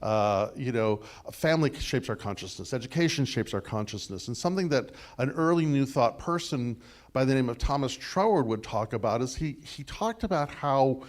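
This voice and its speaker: A man with a big voice giving a discursive, animated sermon response, full of fillers and restarts. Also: mid-range voice, moderate pace. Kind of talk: conversational sermon dialogue.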